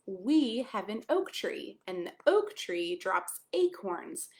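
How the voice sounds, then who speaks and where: Female pastor for children's storytime: lively, widely swinging intonation, expressive reading, speaks directly to young listeners. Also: fairly high pitch. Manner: expressive, addresses young children with questions and exclamations. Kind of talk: children's picture-book read-aloud with friendly asides.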